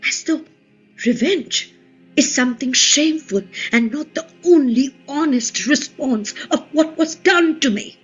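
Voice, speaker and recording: Fierce, slow-spoken ancient queen acted by a woman. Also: high-pitched, expressive. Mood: bitter, defiant. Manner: slow, declamatory, emphatic. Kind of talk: dramatic play reading, radio play. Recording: muffled, roomy recording with music behind.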